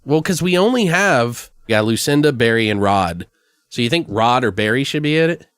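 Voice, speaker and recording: A man's voice: medium pitch, lively intonation, moderate pace, fairly bright tone, clear, resonant tone, dry recording, studio quality. A man hosting a podcast, thinking aloud in a casual, rambling, sweary way. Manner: rambling, profane. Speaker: podcast host. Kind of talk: casual improvised brainstorming chat.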